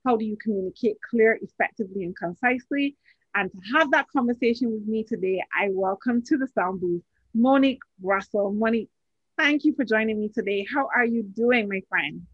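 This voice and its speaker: A woman's voice: a little high, brisk, husky, dry recording, highs cut off. A woman from Barbados hosting a podcast interview, earnest and given to repeating phrases for emphasis. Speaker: podcast host.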